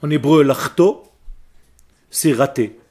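A man's voice: on the high side, slow pace. Emphatic man teaching a group. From Israel. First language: Hebrew. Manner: emphatic, animated and lecturing. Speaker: religious teacher. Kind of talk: lecture to a group with questions.

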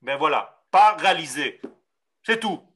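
High, bright-voiced Israeli rabbi teaching. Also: male voice, animated. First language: Hebrew. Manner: reads Hebrew lines slowly, then explains them emphatically. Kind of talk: teaching a class.

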